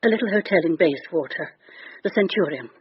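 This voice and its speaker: A muffled radio drama recording of an anxious wife. Her voice is fairly high and husky, swinging widely in pitch, halting and defensive. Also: expressive. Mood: reluctant. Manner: halting, emotional. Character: anxious, defensive married woman hiding an affair. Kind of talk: naturalistic radio drama acting. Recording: dull lo-fi radio broadcast.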